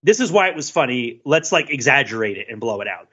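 A man's voice: mid-range voice, very animated, fast, sharp tone, breathy edge. A man co-hosting a podcast, thinking aloud in a casual analytical chat, full of fillers and restarts. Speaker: podcast co-host. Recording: dull lo-fi podcast audio.

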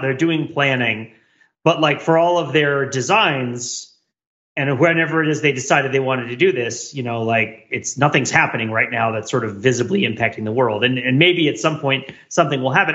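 A man's voice: on the high side, pushed and bright. A man co-hosting a podcast, talking casually in a questioning, argumentative way on slightly dull audio. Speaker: podcast co-host. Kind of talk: casual conversational debate.

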